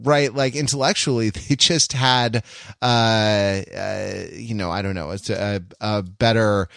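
A man's voice: fairly low pitch, steady tone, gravelly, a bit bright, dry recording. Man in dry, close-sounding podcast chat. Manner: halting, self-interrupting, wryly humorous. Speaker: podcast co-host. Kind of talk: casual conversational podcast chat.

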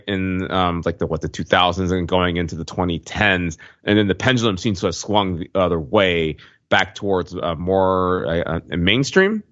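A man in casual discussion as a podcast co-host, halting as he searches for words. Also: low voice, fairly bright tone, no echo, muffled recording.

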